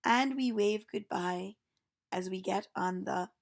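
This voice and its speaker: A woman's voice: mid-range voice, slow pace, creaky. A woman reading a children's storybook aloud, narrating like a teacher to young listeners.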